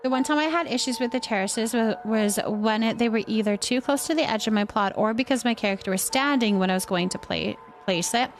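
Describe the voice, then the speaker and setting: Casting: female game streamer, higher-pitched, chatty, thinks aloud, casual delivery, some background noise. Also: a little fast, few pauses. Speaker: livestream gaming host. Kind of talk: casual chat while playing a building game.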